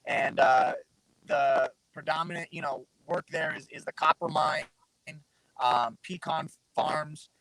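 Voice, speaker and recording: A man's voice: a little high, takes it slowly, fairly bright tone, poor audio. A man from Southern Arizona, a guest fighter, chatting casually and rambling with lots of fillers over a remote mic that pops. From Southern Arizona, USA. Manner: laid-back, rambling, full of fillers. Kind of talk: casual interview chat. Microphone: remote call mic with popping.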